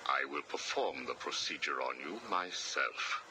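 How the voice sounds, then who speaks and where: A man voicing a cold, condescending older psychiatrist villain in a video game, speaking in measured, clipped, commanding sentences. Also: extremely low pitch, lively intonation, background noise. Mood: stern, clinical. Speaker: villain, prison warden.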